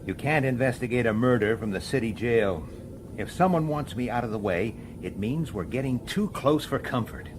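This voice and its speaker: An actor as a weary, animated police detective, noisy recording. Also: male voice, mid-range voice, normal speed, close to an everyday voice. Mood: tense. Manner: urgent, exasperated, questioning. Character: weary, dogged human police detective, sharp-tempered and intense. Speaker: detective and first-person narrator. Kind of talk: radio drama acting with first-person narration.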